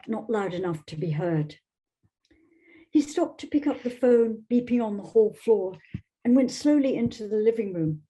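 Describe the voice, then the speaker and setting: A woman's voice: on the high side, warm tone, slightly rough, low-quality recording. A woman, speaking as a guest novelist in thoughtful literary talk and reading. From Scotland.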